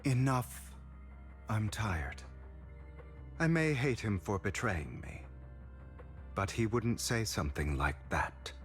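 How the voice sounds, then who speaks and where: An actor playing a weary, composed young man haunted by regret in a video game cutscene, speaking in a measured, resolute way. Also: on the low side, animated. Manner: measured, resolute, quietly tired. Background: game music.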